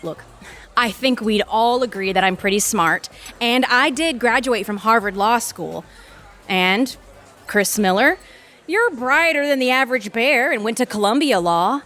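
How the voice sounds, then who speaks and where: A woman's voice: a little high, animated, unhurried, fairly bright tone. A woman playing an arrogant, calculating lawyer in an audio drama, articulate, condescending and self-assured. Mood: smug, calculating. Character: arrogant, calculating Harvard-trained female lawyer.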